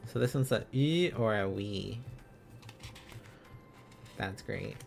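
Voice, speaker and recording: A man's voice: fairly low pitch, slow pace, gravelly, darkish tone, soft background music. A man hosting a casual livestream, thinking aloud as he studies, in a rambling, silly, exclaiming manner. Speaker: livestream host.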